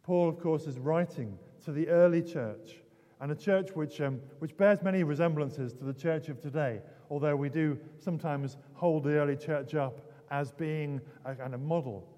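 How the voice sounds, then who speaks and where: A man's voice: fairly high pitch, normal speed, mellow. A middle-aged British man preaching a conversational, explanatory sermon as a priest, hesitating and repeating words, his voice strained from losing it. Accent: British English. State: losing his voice, throat clearing. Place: large indoor church, long reverb. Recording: reverberant church hall.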